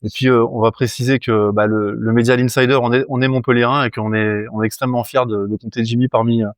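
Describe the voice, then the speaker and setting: Guy hosting a sit-down interview, polite, keeps restarting, mid pitch, dry mic. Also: level tone, fast, clear, resonant tone, no echo. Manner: polite, prompting, stammers on restarts. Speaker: interviewer, host. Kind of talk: interview questions.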